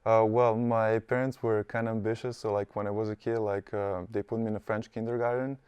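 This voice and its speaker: A man's voice: on the low side, flat delivery, brisk, darkish tone, clear, resonant tone, no room sound. A young man from Serbia with a Serbian accent, answering interview questions casually with many fillers in a studio. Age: young adult. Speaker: college basketball player, guest. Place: studio set.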